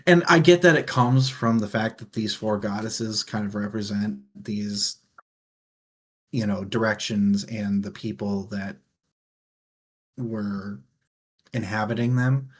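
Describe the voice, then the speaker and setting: A man's voice: fairly low pitch, fairly even intonation, slow pace, pauses often. A man co-hosting a book discussion, talking in a casual, conversational way. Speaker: book discussion show host.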